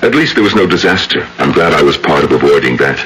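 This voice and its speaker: An actor playing a courteous, secretive, philosophical gentleman in an old-time radio drama, speaking formally and theatrically. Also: a bass voice, sing-song, fast, full character voice. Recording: noisy old radio recording.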